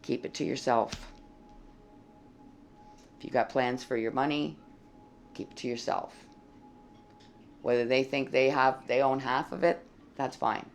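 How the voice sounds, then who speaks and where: A tarot reader talks through a spread in a casual, blunt way and swears now and then. The voice sits at a middling pitch and is dry and froggy, with a heavy gravelly fry. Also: fairly even intonation, measured pace, long pauses, slight background noise. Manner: blunt and plain-spoken, swears freely. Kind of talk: casual tarot reading to camera.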